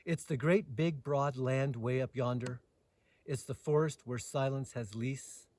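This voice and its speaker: A man reading poetry aloud outdoors as host, with dramatic phrasing and lines that trail off softer. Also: mid-range voice, unhurried. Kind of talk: poetry recitation with casual introduction. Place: outdoors in the mountains.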